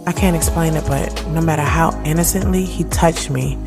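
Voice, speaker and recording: A woman in her thirties playing a sharp-tongued, moody woman who tells her own story in a candid, slangy audio drama. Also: deep voice, fairly even intonation, moderate pace. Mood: sultry confiding. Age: 30s. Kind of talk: first-person audio drama narration and dialogue. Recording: music bed behind the voice.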